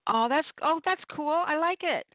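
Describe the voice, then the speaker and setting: A woman's voice: sits high, expressive, normal speed, dry recording. A middle-aged woman hosting a call-in poetry radio show, chatting casually with playful, self-deprecating banter and storytelling. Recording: muffled, dry radio call-in line.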